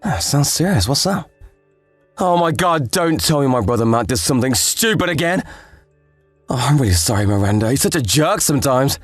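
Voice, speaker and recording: A man's voice: medium pitch, expressive, normal speed, husky, no echo, faint music behind. A man playing a concerned, apologetic brother-in-law in a dramatized story, sympathetic and exclamatory.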